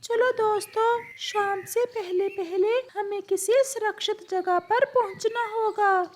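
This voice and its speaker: A woman's voice: very high voice, fairly even intonation, a little slow, slight background noise, pitched above the performer's own voice. A woman voicing a practical, organizing bird in a children's cartoon. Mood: urging.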